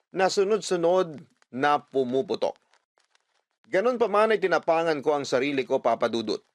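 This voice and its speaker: A man's voice: mid-range voice, dry recording. A man with a Filipino accent giving dramatic scripted narration as the storyteller of a radio drama.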